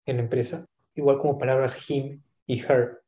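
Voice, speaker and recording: Guy musing out loud, lots of restarts, mellow tone, dull roomy recording. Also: mid-range voice, little pitch movement, normal speed. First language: not English. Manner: thoughtful, hesitant, many asides and restarts. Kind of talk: casual conversation. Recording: echoey room, dull lo-fi sound.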